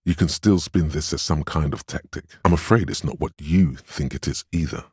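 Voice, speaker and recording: An extremely low, grainy male inner voice of willpower from a role-playing game. It is steadfast and grave, counselling in measured, reassuring phrases. The sound is close and dry, with a husky edge. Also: darkish tone, dry recording, exaggerated voice. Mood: firm, urging. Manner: measured, solemn, encouraging counsel. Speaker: video game voice actor.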